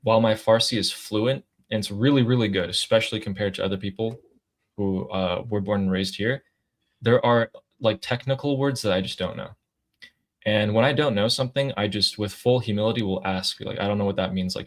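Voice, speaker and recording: American male co-host, slightly low pitch, rapid delivery, informal storytelling, casual chat. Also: fairly even intonation. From United States. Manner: informal, peppered with "like", acting out quoted remarks.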